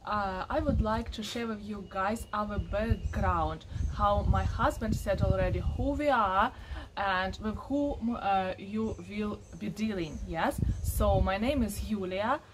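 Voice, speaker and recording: A woman in her thirties from Ukraine, speaking halting with many pauses and fillers while introducing herself on a porch. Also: mid-range voice, noisy background. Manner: halting non-native English with many fillers. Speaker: co-owner of a marriage agency. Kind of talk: casual video introduction. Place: covered porch outdoors.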